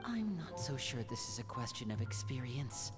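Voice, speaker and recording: Tactful, lively-toned young woman. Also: extremely low pitch, normal speed. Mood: thoughtful. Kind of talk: scripted video game dialogue. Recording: music clearly under the voice.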